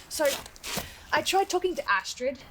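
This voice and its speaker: Woman acting as haunted archivist, fairly high voice, halting, stammering, earnest, audio drama, faint noise. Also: fairly bright tone, full character voice. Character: anxious, earnest archivist haunted by supernatural fears.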